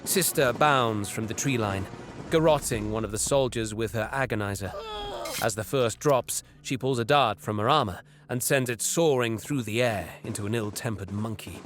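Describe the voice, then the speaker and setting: Man playing a low-voiced, haughty alien raider. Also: natural voice. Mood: predatory, intent. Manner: menacing, disdainful, deliberate. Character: cruel, haughty alien raider warrior, narrating his hunt. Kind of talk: scripted dramatic first-person narration. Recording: music behind the voice.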